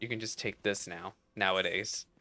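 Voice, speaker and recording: A man's voice: low voice, steady tone, normal speed, bright, forceful tone. A man giving casual, chatty playthrough commentary with playful, slangy reactions. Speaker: game streamer. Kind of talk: casual playthrough commentary with read-aloud dialogue. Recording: game music behind the voice. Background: game music.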